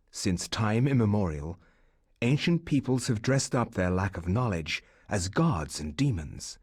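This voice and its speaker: A man giving measured, scholarly game narration in a reflective tone. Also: fairly low pitch, darkish tone, slightly rough, dry recording, studio quality.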